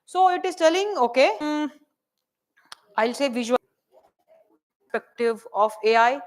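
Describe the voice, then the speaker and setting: A soft-spoken woman with an Indian English accent, teaching a hands-on AI workshop and checking in with the room often. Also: high voice, lively intonation, unhurried. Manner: chatty, checks in often with "right?" and "okay?". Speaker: AI workshop presenter.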